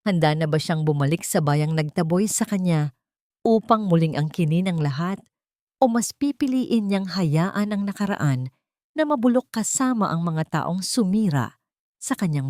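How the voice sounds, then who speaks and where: Filipino woman doing dramatic story narration, slightly low voice, very dry recording. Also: a little mellow, no room sound.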